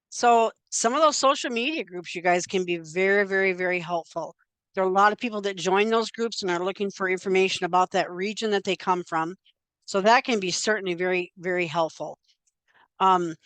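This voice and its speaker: A woman's voice: mid-range voice, fairly bright tone, smooth, dry recording. An older woman gives an informal, chatty genealogy presentation, talking conversationally with frequent fillers.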